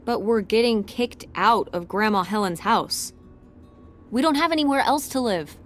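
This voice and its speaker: A woman's voice: fairly high pitch, expressive, normal speed, natural voice. A woman playing a worried teenage daughter in a video game, natural in delivery, pointed and sulky as she questions. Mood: disappointed, pleading. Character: worried, challenging teenage daughter named Tess. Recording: clear background ambience noise.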